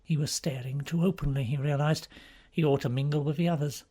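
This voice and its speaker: A man reading a literary novel as an audiobook, in scripted narration with a husky, breathy voice. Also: fairly high pitch, steady tone, fairly quick, mellow. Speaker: audiobook reader.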